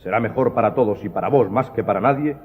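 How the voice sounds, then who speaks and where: An actor playing a stern, honour-bound leader of a secret club, formal and commanding, in a radio drama. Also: male voice, lowish voice, fast, lightly acted. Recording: muffled phone-like sound, long reverb.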